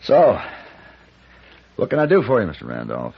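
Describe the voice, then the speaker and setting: A hard-bitten yet urbane American gun for hire in a vintage radio Western. The voice is low and widely inflected, dry and terse, on a dull old recording. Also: male voice, huge pitch swings, moderate pace, creaky, breathy edge, exaggerated voice. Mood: cool, direct. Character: suave, hard-bitten hired gunfighter man, dry and self-assured. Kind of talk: old-time radio drama acting and first-person narration.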